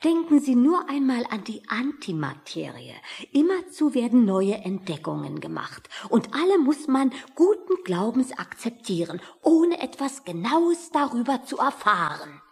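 An actress playing a nervous, well-read, deferential woman in a satirical radio play, speaking earnestly and excitably. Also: fairly high pitch, a little slow, creaky, hardly any pauses, full character voice. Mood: earnest, lecturing.

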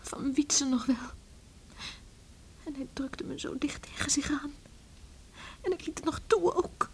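A woman's voice: high voice, a little slow, in a whisper. An actress playing a headstrong, troubled young village woman in a radio play, theatrical and emotional. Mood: troubled brooding. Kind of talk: Dutch radio play acting. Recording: noisy old radio drama recording.

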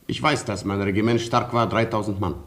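An actor in a radio drama playing a proud, stoic colonel with a terse, formal manner. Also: male voice, lowish voice, steady tone, brisk, a bit bright. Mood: grave, resigned. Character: proud, stoic Polish male colonel, gallant and commanding.

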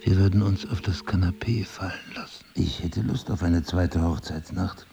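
An actor playing a brooding, suspicious middle-aged husband and crime writer with amnesia in an intimate audio drama, gravelly and husky, probing and sardonic. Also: male voice, low voice, normal speed, music in the background. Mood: playful, seductive.

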